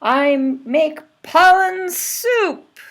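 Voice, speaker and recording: A woman's voice: very high voice, expressive, drawn-out words, voice pushed up, heavily acted. A woman voicing perky, proud little wild bees from a children's storybook, in short declarations. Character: chirpy wild bees introducing themselves, storybook creatures.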